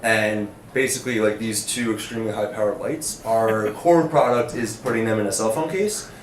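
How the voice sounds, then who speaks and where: A man's voice: on the low side, moderate pace. A man speaking casually and upbeat as a panelist, with many fillers. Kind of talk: panel discussion. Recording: echoey room, faint noise.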